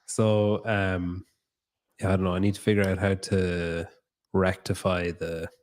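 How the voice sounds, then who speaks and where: A man's voice: deep voice, little pitch movement, a little slow, darkish tone. A man hosting a podcast in a new studio, chatting loosely, thinking aloud and swearing casually. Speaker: podcast host. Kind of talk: casual interview chat.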